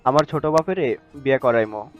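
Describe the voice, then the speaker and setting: A man's voice: medium pitch, brisk, lightly acted. A man acting an irritable, insistent father in an audio family drama. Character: insistent, irritable father pushing his son to marry. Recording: dull muffled audio with music.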